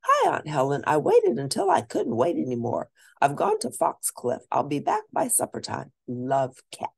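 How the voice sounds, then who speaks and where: A woman's voice: low voice, wildly swooping pitch, moderate pace, creaky, husky, exaggerated voice. A woman voicing a curious, confident, chatty young girl in a storybook, eager and emphatic. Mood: cheerful.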